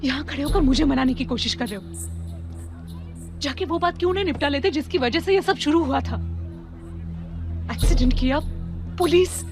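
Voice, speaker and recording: A high female voice with faint music behind it: a woman acting a weary, wounded wife in a film drama. She is earnest, firm and full of reproach, speaking plainly. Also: moderate pace, pauses often, natural voice. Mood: fed up. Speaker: actor in a film.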